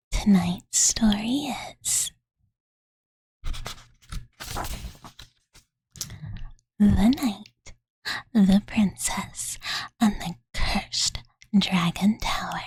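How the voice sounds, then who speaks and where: A woman voicing a playful, doting young dragon girl in soft, breathy ASMR whispers, with cutesy, ditzy phrasing, close to the microphone. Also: medium pitch, no room sound, full character voice. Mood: soothing, teasing. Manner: ditzy valley-girl filler talk, cutesy third-person self-reference. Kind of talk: whispered ASMR roleplay bedtime storytelling. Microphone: close Blue Yeti condenser mic.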